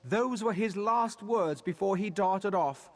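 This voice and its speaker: A man playing a haughty, suspicious high elf guard in a video game, speaking in a curt, condescending manner. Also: a little slow. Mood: haughty, dismissive. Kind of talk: video game character dialogue.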